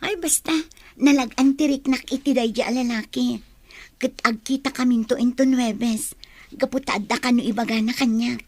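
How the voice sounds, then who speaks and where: A woman's voice: a little high, steady tone, normal speed, a little mellow, dry recording. A woman playing a young, trusting and demure female student in a radio drama. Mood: trusting, smitten.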